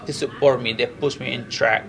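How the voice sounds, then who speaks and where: Gravelly Moroccan-accented male fighter. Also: medium pitch, steady tone, normal speed, crowd noise. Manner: earnest, repetitive, non-native English with frequent 'you know'. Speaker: professional MMA fighter. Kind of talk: press interview, casual chat.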